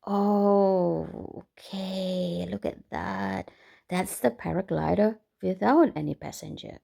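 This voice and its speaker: Lady doing kids storytime, slow and super expressive, voice swings up and down, asks the listeners stuff. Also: on the low side, gravelly. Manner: expressive, exclaiming, engaging listeners with questions. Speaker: storytime reader for a children's book club. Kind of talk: animated children's read-aloud with commentary to listeners.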